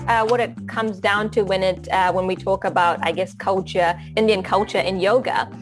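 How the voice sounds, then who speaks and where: A woman's voice: mid-range voice, steady tone, normal speed, music in the background. A woman from Fiji who teaches yoga, speaking in an interview with hesitant, filler-heavy phrasing that rises when she presses a point. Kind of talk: casual interview talk.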